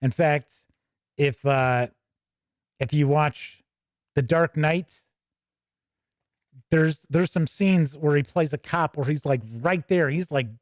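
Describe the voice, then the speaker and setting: Guy doing a laid-back gaming book flip-through, kinda high voice, rambly asides, very dry sound. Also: slow, pauses often, no echo. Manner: rambling, thinking aloud, trailing into asides. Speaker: host of a tabletop gaming review show. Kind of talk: casual live commentary while paging through a rulebook.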